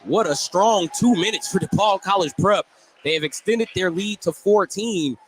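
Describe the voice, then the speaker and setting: American man, higher-pitched voice, live basketball play-by-play, excitable on big plays, noisy gym broadcast. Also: normal speed. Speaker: play-by-play sports announcer. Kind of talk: live basketball radio commentary. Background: loud gym ambience.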